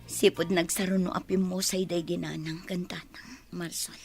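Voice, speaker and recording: A woman's voice: on the low side, level tone, normal speed, faint music behind, lightly acted. A woman with an Ilocano accent acting a grieving, anguished village daughter in a radio drama. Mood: subdued grief.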